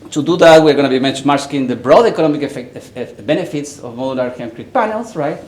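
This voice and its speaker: A man's voice: mid-range voice, animated, fast. A Chilean-accented man, a native Spanish speaker, presents a research project in a roomy conference hall with a faint hiss behind him. His delivery is conversational, peppered with "right?" and "actually". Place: large echoey conference room. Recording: echoey hall with faint noise.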